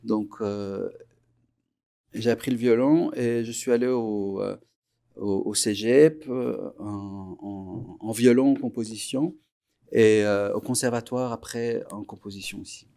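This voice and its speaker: Mellow, gravelly man born in Iran. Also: fairly low pitch, steady tone. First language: Persian. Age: 40s. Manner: unhurried, reflective, pauses often to search for words. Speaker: musician guest. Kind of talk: relaxed interview recollection.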